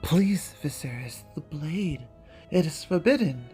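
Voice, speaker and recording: A narrator playing a young princess, earnest and quietly resolute, in a measured dramatic fantasy audiobook reading. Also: mid-range voice, expressive, slightly rough, soft background music. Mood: pleading, cautious.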